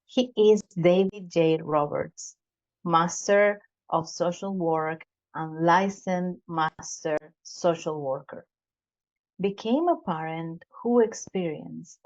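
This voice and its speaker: A woman hosting a podcast interview, speaking deliberately with some fillers and repeats. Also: fairly low pitch, a little mellow. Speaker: podcast host. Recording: dull lo-fi sound.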